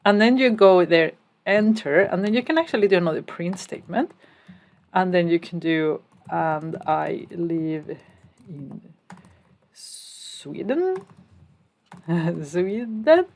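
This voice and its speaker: Woman, from Spain, coding instructor, casual step-by-step explaining, slightly low voice. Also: lively intonation, takes it slowly, long pauses, darkish tone, slight background noise. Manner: explains step by step while typing, casual asides. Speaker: programming instructor. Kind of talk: screencast coding tutorial.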